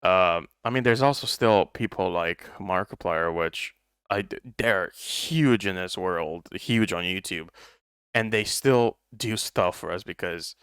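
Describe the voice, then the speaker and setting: A man's voice: low voice, measured pace, fairly bright tone, clean tone, no echo, clean studio sound. A young man co-hosting a casual podcast, speaking English as a second language, thoughtful and rambling with many restarts. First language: not English. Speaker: podcast co-host. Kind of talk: casual chat.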